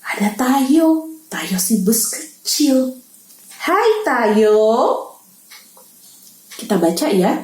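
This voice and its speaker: High, slow-reading woman storyteller. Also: animated. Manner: gentle, teacherly, asks the child questions. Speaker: children's book reader. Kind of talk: reading aloud to children. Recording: roomy echo.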